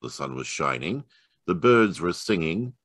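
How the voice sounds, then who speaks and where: A man's voice: deep voice, big pitch swings, measured pace, a bit bright, no echo, studio quality, full character voice. A man playing a wry, fond detective reading aloud in an old-time radio drama. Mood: amused, measured. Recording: live video-call performance.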